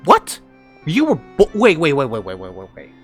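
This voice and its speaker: A man doing casual live gaming commentary, expressive and repetitive, with swearing. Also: on the high side, moderate pace, soft background music. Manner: expressive, repeats phrases, swears. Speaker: gaming reaction streamer.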